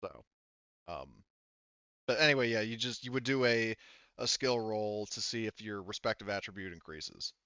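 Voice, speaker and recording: A man's voice: fairly low pitch, animated, moderate pace, sharp tone, clean tone, no echo, highs cut off. A man acting as game master, casually talking through tabletop game rules in a rambling, backtracking way.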